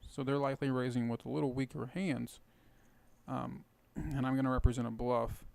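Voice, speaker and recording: A man with a rough, hoarse voice casually explaining his poker plays while thinking aloud. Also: medium pitch, steady tone, normal speed, darkish tone. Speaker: poker video host. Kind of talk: casual poker commentary over gameplay.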